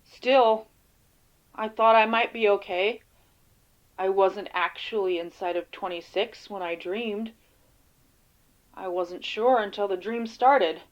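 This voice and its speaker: A woman playing a weary, defensive field agent in an audio drama interview, speaking haltingly and casually. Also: medium pitch, highs cut off, close to an everyday voice. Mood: resigned, haunted.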